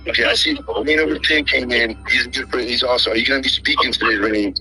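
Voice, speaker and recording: Emphatic host with a bright voice. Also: male voice, mid-range voice, fairly even intonation, fast, breathy edge, soft background music, low-quality recording. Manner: insistent and emphatic. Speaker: show host. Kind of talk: casual livestream chat.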